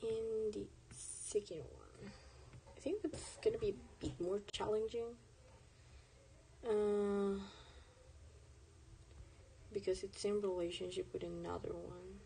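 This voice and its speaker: A woman's voice: on the low side, warm tone, breathy edge, rough recording. A young woman whose first language is Spanish, chatting casually while she draws on stream, rambling and correcting herself as she goes. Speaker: artist streaming a drawing session. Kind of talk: casual chat while drawing.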